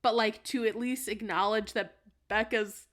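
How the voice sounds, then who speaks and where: A woman's voice: a little high, fairly even intonation, unhurried, a bit bright. A young American woman making a case in casual podcast talk, rambling and repeating words as she goes. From United States. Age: young adult. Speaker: podcast panelist.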